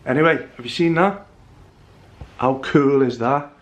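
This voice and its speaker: A man's voice: mid-range voice, measured pace, lo-fi sound. A young man, chatting casually to camera in a rambling, self-mocking way while getting over the flu. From England. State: recovering from flu. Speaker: vlogger. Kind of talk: casual vlog chat to camera.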